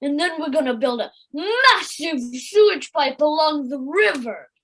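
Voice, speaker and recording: A dopey, eager weasel sidekick acted by a woman, high and swooping. The delivery is slow and naive, with childish boasting, as in an audio play for children. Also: lively intonation, fairly bright tone, slight background noise, exaggerated voice, poor audio. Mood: eager, boastful. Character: dim, eager, literal-minded weasel henchman. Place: living room at home, recorded over video call.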